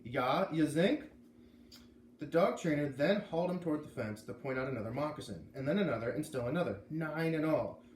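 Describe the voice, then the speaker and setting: A man reading a storybook aloud as expressive narration. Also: a little high, quick pace. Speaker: storybook reader. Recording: echoey room, faint background noise.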